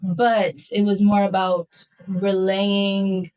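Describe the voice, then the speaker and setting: A woman's voice: mid-range voice, flat delivery, slow pace, darkish tone, rough recording. An American woman in her twenties talking casually and earnestly as a guest in a podcast interview, explaining her thoughts. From Philadelphia, United States. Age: 20s. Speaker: guest, singer.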